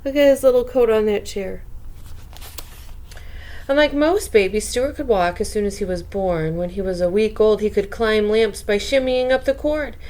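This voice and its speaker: A woman reading a children's book aloud as a bedtime storyteller, expressive, with chatty asides to young listeners. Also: mid-range voice, normal speed.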